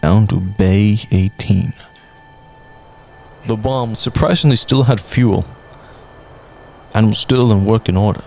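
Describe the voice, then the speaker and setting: A young man narrating a radio drama in a measured storytelling way. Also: on the low side, creaky. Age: young adult. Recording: narration over loud music.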